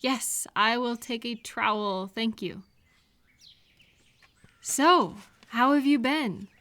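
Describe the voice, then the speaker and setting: Unhurried, caring woman friend played by an actress. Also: on the high side, heavily acted. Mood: gentle, concerned. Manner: gentle, unhurried, attentive questioning with pauses for replies. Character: caring, cheerful woman friend helping out in a garden. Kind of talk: scripted one-sided roleplay audio, addressed to the listener.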